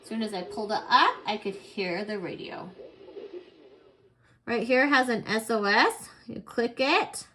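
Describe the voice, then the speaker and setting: Higher-pitched woman demonstrating a product. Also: measured pace, bright, forceful tone. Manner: plain, step-by-step explaining. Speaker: product reviewer.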